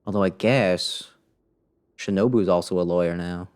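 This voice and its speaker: A man's voice: low-pitched, normal speed, a little mellow, smooth. A man livestreaming a game, giving casual commentary full of wry, joking asides.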